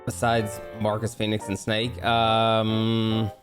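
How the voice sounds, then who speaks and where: Low-voiced man chatting over music. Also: monotone, slow. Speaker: co-host of a gaming podcast. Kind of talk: casual chat during a game let's play. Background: music playing behind the voice.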